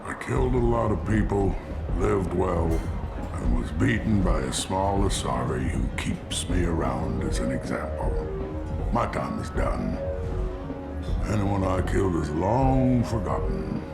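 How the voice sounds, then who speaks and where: An ancient, beaten alien warlord from a video game. His low, gravelly voice moves slowly with bitter resignation, over loud music. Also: sing-song, exaggerated voice. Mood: resigned. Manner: weary, deliberate, world-worn. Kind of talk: video game character acting. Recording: loud music behind, roomy echo.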